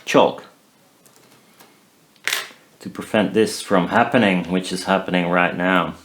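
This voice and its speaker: Guy with a lowish voice doing casual workshop narration, kinda halting, thinking out loud while he works. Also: a little hiss or hum. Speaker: DIY maker demonstrating a build.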